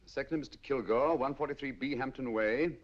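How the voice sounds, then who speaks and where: An actor playing a commanding, middle-aged master detective with crisp, precise diction, in 1940s film style. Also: male voice, medium pitch, quick pace, close to an everyday voice. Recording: dull lo-fi 1940s film sound.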